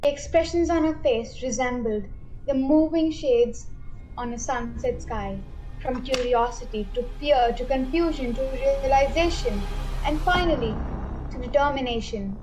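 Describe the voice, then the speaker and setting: A woman narrates a radio play in a high voice. It is scripted storytelling, with faint music underneath. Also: measured pace, rough recording.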